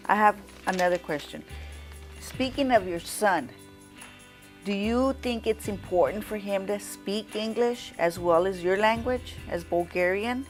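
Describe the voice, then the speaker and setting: A woman's voice: medium pitch, measured pace, faint music behind. A woman student interviewing a classmate, politely asking questions and restarting them midway.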